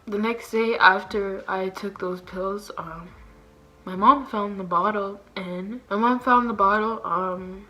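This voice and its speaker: A woman's voice: mid-range voice, steady tone, slow pace, pushed and bright, poor audio. A young woman talking casually and candidly to camera as a vlogger, in a slangy way with lots of fillers. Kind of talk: candid confessional chat to camera.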